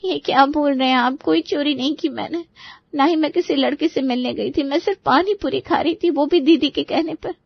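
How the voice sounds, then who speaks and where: A woman's voice: high-pitched, a little fast, vocal fry, no room sound, highs cut off. A woman playing a dutiful, wronged daughter-in-law in her twenties, pleading and protesting with hurt in a Hindi moral story.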